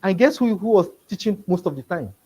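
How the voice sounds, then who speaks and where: A man's voice: high-pitched, animated, brisk, dark tone, husky. A man with a Nigerian accent who stammers, repeating words as he teaches a live business seminar. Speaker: business trainer. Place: seminar room with a live audience.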